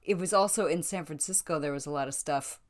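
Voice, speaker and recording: American woman, low voice, casual livestream storyteller who acts out quotes. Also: fast talker. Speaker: belly dancer telling stories of her career. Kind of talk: casual chat to a live online audience.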